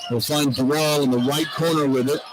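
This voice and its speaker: A man's voice: on the high side, fairly even intonation, brisk. A man calling live basketball play-by-play on the radio, describing the action in short, clipped phrases. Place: indoor basketball arena. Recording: radio broadcast over loud arena noise. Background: loud arena ambience.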